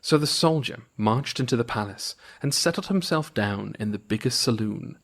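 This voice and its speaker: Male narrator for old folktales, expressive read-aloud, animated mid-range voice. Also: mid-range voice, moderate pace, vocal fry. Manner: expressive storytelling with varied intonation. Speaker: storyteller.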